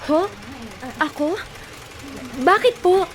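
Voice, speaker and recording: Expressive woman, acting an earnest young working wife. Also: very high voice, slow, slight background noise, exaggerated voice. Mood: eager, respectful. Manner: expressive, emotional. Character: earnest, hardworking young factory worker turned wronged wife. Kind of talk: radio drama acting.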